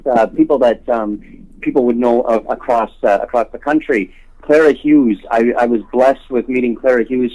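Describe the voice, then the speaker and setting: A man speaking as a guest in a telephone interview, earnest and halting, often repeating words as he makes his case. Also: medium pitch, a little mellow, low-quality recording. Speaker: interview guest, homelessness awareness activist. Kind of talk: telephone interview, spontaneous advocacy talk. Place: on a telephone call.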